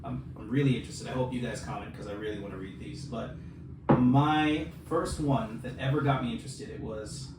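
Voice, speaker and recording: A man's voice: mid-range voice, a little fast. Man chatting in a very echoey room. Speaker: podcast co-host. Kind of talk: casual chat about books. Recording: very echoey, noisy room, distant mic.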